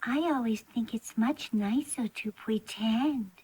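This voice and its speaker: A woman's voice: fairly high pitch, slow, warm tone, slightly rough, full character voice, rough recording. A woman playing a timid, imaginative little girl in a children's storybook play, earnest and pleading in a childlike way. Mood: anxious.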